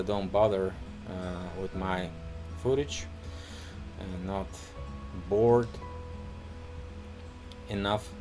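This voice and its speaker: Low-voiced Russian man rambling on a walk. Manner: rambling, halting, many restarts and fillers. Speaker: vlogger. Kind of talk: casual walking vlog. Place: city street outdoors.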